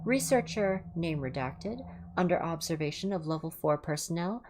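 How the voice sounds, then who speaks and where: A woman reading aloud in an informal ASMR livestream style, in a measured, careful way. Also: deep voice, animated, a little mellow, a little hiss or hum. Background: music.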